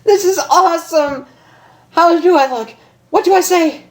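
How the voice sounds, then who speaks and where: A woman's voice: extremely high pitch, measured pace, a little mellow, pitched above the performer's own voice. A woman voicing a brash, nervous, lovestruck female pony from a cartoon, blurting her words in a flustered, informal way. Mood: excited.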